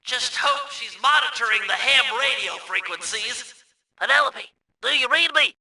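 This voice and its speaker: Casting: brainy young cartoon turtle strategist for a video game, very high and piercingly bright, precise and earnest. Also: male voice, normal speed, dry recording, exaggerated voice, low-quality recording. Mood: hesitant, nervous. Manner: precise, wordy, earnest, instructional. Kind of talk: video game mission briefing and tutorial voice acting.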